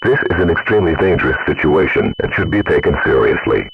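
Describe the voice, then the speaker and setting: Very deep gravelly synthetic male alert voice. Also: sing-song, quick pace. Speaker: police alert announcer. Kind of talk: scripted emergency message. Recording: tinny phone-like audio with faint noise.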